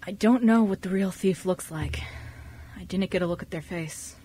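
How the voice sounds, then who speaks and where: A woman's voice: fairly low pitch, mellow, slight background noise, natural voice. A woman voicing a nervous young man who is evasive under police questioning in an amateur radio drama. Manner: halting, evasive.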